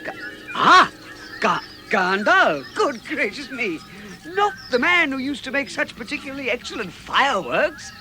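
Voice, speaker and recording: Fussy, animated hobbit. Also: male voice, very high voice, a little slow, over music, full character voice. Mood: flustered. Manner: stammering, chuckling, flustered politeness. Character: fussy, genial, easily flustered male hobbit. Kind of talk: vintage British radio drama acting.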